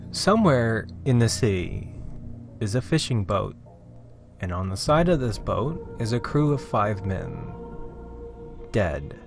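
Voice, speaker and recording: Man, lowish pitch, theatrical horror storytelling, suspenseful emphasis, clear music bed behind the voice. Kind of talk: scripted dramatic horror narration. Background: music under the voice.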